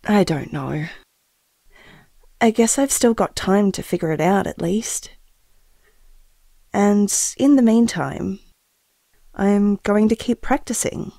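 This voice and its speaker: A woman's voice: mid-range voice, mellow, no echo. A woman playing an earnest expectant mother in an audio drama, confiding reflectively and hesitantly as if to a taped diary. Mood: determined.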